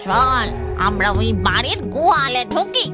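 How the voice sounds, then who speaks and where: A woman's voice: high voice, big pitch swings, a little slow. A woman voicing a greedy, bossy female mosquito witch queen in a children's cartoon, whiny and scolding. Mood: bossy, impatient. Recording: loud music under the voice.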